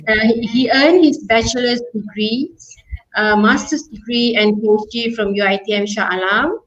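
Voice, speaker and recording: A woman's voice: a little high, moderate pace, a bit bright. A woman moderating an online webinar, peppering her talk with fillers and checks like "yeah?" and "right?". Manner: filler-heavy, often ends with "yeah?" and "right?". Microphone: video call. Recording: muffled online call audio.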